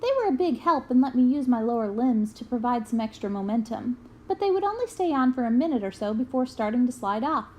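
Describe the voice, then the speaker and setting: A woman with a voice on the high side reads a story aloud. Her delivery is expressive storytelling, made for young listeners following a book. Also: a little fast, few pauses, a little hiss or hum. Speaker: book reader. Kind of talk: expressive read-aloud of a children's memoir.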